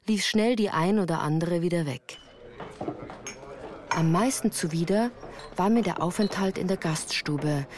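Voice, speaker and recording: A woman playing a melancholy narrator who recounts her hard youth in a measured, earnest radio drama style. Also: lowish voice, noisy background, lightly acted.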